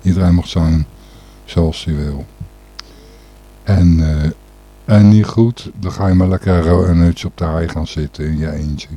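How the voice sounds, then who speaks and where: A man's voice: low-pitched, fairly even intonation, measured pace, long pauses, warm tone, no echo. A man hosting an informal radio show, commenting on the news in a hesitant, rambling, opinionated way. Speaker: radio and podcast host.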